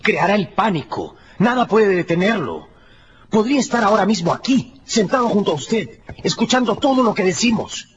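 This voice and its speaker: Agitated, high-pitched doctor. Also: male voice, big pitch swings, normal speed, a little hiss or hum. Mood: urgent, insistent. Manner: agitated, insistent, emphatic. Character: alarmed, urgent educated doctor, man of science. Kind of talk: radio drama acting. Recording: dull radio sound, faint music.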